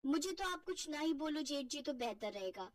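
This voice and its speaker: A woman's voice: high-pitched, lively intonation, moderate pace, dry recording, studio quality, distant mic. A woman voicing a lazy, pampered, cheeky young wife, whining and defiant, in a family cartoon. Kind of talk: Hindi family cartoon voice acting.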